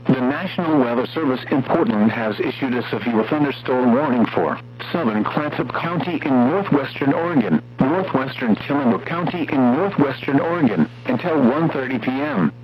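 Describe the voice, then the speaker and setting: A synthetic male voice reading scripted weather warning bulletins in a formal, flat manner as an automated radio announcer. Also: mid-range voice, normal speed, hardly any pauses. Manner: formal, flat synthetic text-to-speech delivery. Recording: muffled, noisy weather radio with hum.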